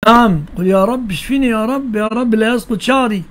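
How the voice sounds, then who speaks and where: Brisk high-voiced Egyptian sheikh. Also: male voice. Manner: brisk, blunt, questions callers back. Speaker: sheikh answering callers' questions. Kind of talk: live call-in religious Q&A.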